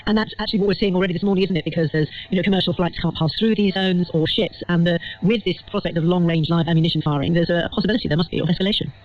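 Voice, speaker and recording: A woman's voice: on the low side, rapid-fire, few pauses. A British woman presenting live radio news and putting questions to guests as an interviewer. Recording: slightly dull radio broadcast.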